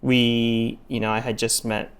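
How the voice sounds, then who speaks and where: A middle-aged man with a gravelly, creaky voice speaks in a flat, deadpan, laid-back way. He is a chef who grew up in Taiwan, chatting casually in an interview and filling his sentences with ums and likes. His voice sits at an ordinary pitch for a man. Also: a little slow. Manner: deadpan, relaxed, lots of fillers. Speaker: chef and restaurateur guest.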